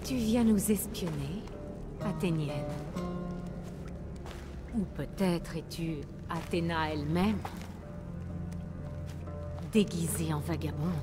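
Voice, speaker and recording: Young female resistance leader, lowish, slow, intense, grim game drama over music. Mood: suspicious. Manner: solemn, intense. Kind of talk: dramatic video game acting.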